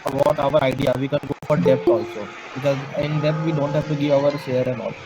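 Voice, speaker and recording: A man's voice: mid-range voice, fairly even intonation, a little fast. A young man with an Indian accent, discussing casually in a group. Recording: loud traffic-like background noise.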